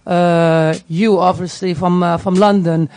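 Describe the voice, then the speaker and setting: A woman with a Dutch accent and a gravelly edge, talking casually and hesitantly as an interview guest. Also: on the low side, fairly even intonation, unhurried, darkish tone. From Netherlands, grew up in Tilburg. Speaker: music artist, interview guest. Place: radio studio.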